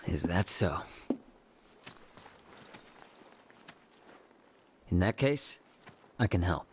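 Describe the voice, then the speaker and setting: An actor playing a cool, guarded underworld operator in a video game, terse and unruffled. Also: male voice, sits low, lively intonation, measured pace, slight background noise.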